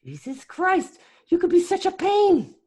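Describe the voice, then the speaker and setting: A woman's voice: extremely high pitch, wildly swooping pitch, a little slow, warm tone, exaggerated voice. A woman acting as an exasperated, defiant female accomplice in an amateur script read, whiny and sassy. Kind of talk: amateur online table read of a film script.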